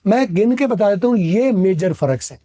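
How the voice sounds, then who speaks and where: Advising Pakistani man, high and mellow. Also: animated, normal speed. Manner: authoritative, advising, explains at length in long sentences. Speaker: English teacher. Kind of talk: podcast discussion, explaining.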